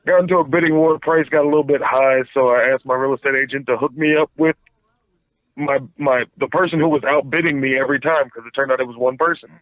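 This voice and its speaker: A man's voice: mid-range voice, steady tone, quick pace, dry recording. Man on a tinny phone line. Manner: rambling, stumbles and repeats words. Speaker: phone-in guest. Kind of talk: casual storytelling.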